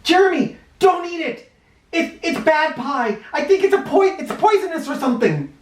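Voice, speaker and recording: A man voicing an earnest, anxious young boy in a children's storybook, with an urgent, halting way of pleading. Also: extremely high pitch, animated, slight background noise, voice pushed up. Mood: panicked pleading. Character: earnest young boy, anxious about his new friend. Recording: roomy, echoing, somewhat distant microphone.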